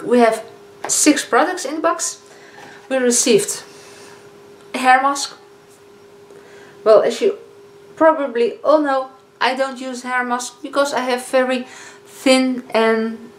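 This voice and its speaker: Chatty Dutch-accented woman in an echoey room. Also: fairly high pitch. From Netherlands. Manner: chatty, self-correcting, hesitant fillers. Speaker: beauty vlogger. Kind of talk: casual product review. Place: living room, indoors. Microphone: camera in front of a seated speaker. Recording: echoey room with faint hiss.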